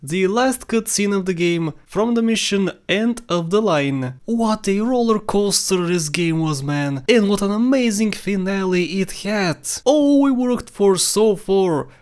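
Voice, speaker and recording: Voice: mid-range voice, expressive, measured pace, few pauses, darkish tone. Scripted game countdown narrator. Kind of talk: scripted opinion commentary over game clips.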